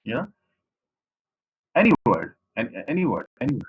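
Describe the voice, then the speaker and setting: A man with a Pakistani accent lectures a university class over an online call. His voice sits at a middle pitch, and he circles back over the same words to make sure the students follow. The audio is dull and lo-fi, like a compressed call. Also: big pitch swings, moderate pace, slightly rough. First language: Saraiki. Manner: repetitive, explanatory, addresses students directly.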